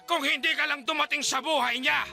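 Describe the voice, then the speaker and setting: An actor in a radio drama playing an obsessed, lecherous older married landlord, leering and menacing. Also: male voice, very high-pitched, steady tone, fairly quick, creaky, pushed and bright, soft background music, full character voice. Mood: bitter rage.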